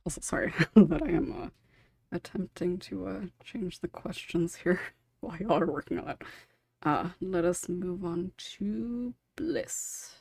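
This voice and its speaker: A woman's voice: on the low side, unhurried, vocal fry, darkish tone, slightly rough, dry recording, studio quality. A woman moderating a casual panel as podcast host, apologetic and reassuring, with a giggly way of talking.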